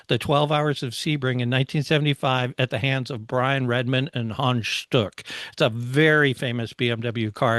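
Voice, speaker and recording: A man's voice: medium pitch, fairly bright tone, no echo, clean studio sound. A middle-aged man hosting a podcast, telling stories in a casual, conversational and enthusiastic way. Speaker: podcast host.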